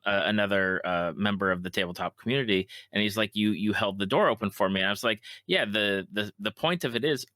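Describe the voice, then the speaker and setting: Low, bright-voiced man, halting and earnest. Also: dry recording, studio quality. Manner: halting, repeats words, earnest. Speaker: podcast host, interviewer. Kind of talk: casual interview chat.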